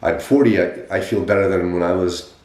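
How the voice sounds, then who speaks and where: Deep-voiced 40-year-old man, animated storyteller. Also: brisk. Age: 40s. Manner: animated, emphatic storytelling with frequent restarts. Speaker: podcast host sharing his fitness story. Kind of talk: casual podcast chat. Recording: echoey room.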